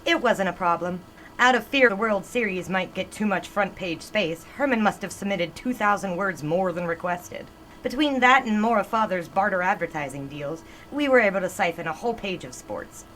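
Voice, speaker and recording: An actress playing a reflective newspaper publisher's daughter in an audio drama, talking in a wistful, gently teasing way. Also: female voice, medium pitch, expressive, normal speed, slight background noise, lightly acted, poor audio. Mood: brisk. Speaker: narrator and newspaper woman.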